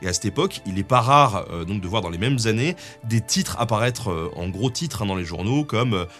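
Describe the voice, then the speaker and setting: A man's voice: on the low side, expressive, a little fast, fairly bright tone, soft background music. A man with a French accent co-presenting history, explaining a script in a conversational way with frequent tag words. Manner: conversational, peppered with "hein" tags. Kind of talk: semi-scripted explanatory narration.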